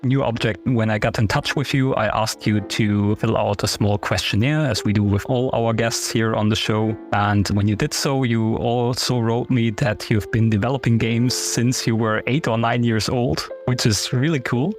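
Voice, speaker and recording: A man's voice: medium pitch, fairly even intonation, normal speed, hardly any pauses, faint music behind. A man in his late thirties hosting a podcast interview, asking questions in a friendly, conversational way. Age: 30s. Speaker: podcast host.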